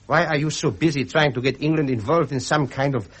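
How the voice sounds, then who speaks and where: Brash, high-pitched young prince. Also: male voice, brisk, a bit bright, breathy edge. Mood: challenging, pompous. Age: young adult. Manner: formal, pompous, insistent. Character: brash, opinionated young German prince nephew. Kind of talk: 1970s British radio drama acting.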